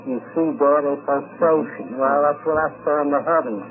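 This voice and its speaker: A man being interviewed on location, telling a personal account in a halting, rambling way. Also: a little high, background noise. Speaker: convention attendee being interviewed. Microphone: shared interview microphone. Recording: tinny 1950s radio field recording.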